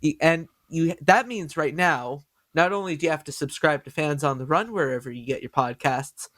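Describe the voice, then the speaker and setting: Excitable nasal-voiced podcast host. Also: male voice, fairly high pitch, moderate pace, dry recording, clean studio sound. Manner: excitable, stumbles over words. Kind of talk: excited casual podcast chat.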